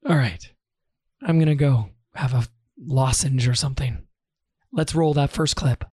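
A male podcast host who has lost his voice, talking hoarsely and with strain in a casual solo episode. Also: mid-range voice, a little slow, heavy background noise. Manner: strained, pushing through pain.

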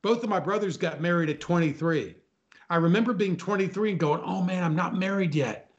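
Slightly high-voiced man in his sixties. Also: fairly quick, lo-fi sound. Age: 60s. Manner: chuckles, repeats phrases for emphasis. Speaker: talk show guest. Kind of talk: casual chat, reminiscing.

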